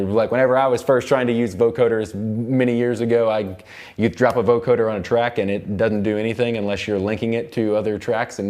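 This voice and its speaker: Low-voiced young American man teaching casually. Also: a little mellow. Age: young adult. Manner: rambling, repeats and restarts phrases. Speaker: instructor, seminar host. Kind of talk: casual live tutorial on music production. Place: recording studio control room, seated at mixing console.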